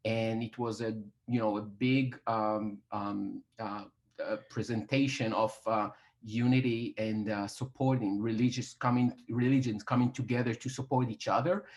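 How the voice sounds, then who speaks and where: A man's voice: lowish voice, fairly even intonation, moderate pace, a little mellow. A man from Israel, a native Hebrew speaker with an Israeli accent, speaking informally as a panelist and often searching for words. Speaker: PR agency head, panelist. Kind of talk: informal unscripted panel talk.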